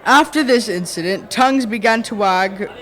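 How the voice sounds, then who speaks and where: A man's voice: high-pitched, normal speed, fairly bright tone. A young teenage boy playing an old-tale village storyteller in a school radio play. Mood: wry, storytelling. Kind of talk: scripted radio play narration.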